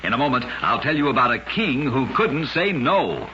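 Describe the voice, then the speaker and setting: An old lo-fi radio recording with slight noise carries a man telling scripted tales of curiosities. His voice is bright and forceful, with a dramatic, measured announcer's delivery. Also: medium pitch, very animated. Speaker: radio show narrator.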